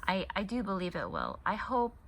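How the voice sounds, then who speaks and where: A woman's voice: mid-range voice, huge pitch swings, normal speed, a bit bright, slight background noise. A woman in her thirties who grew up in the United States and spoke Korean first, chatting casually at home while she crochets, rambling and often restarting her sentences. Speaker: crochet channel host. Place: home in Hong Kong.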